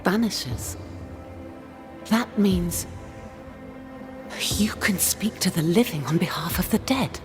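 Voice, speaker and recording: A woman's voice: lowish voice, animated, takes it slowly, pauses often, over music. An actress playing the wistful, lovelorn ghost of a woman in a dramatic video game. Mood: puzzled, polite.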